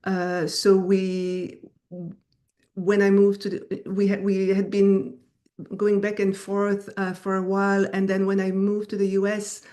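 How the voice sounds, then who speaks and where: A middle-aged woman from Belgium, a native French speaker with an Americanized French accent, telling her story as an interview guest in a halting way. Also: mid-range voice, completely flat delivery, warm tone. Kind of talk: personal storytelling in an online interview.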